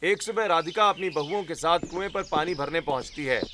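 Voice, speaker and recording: Male narrator, slightly high voice, scripted storytelling, light background noise. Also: brisk.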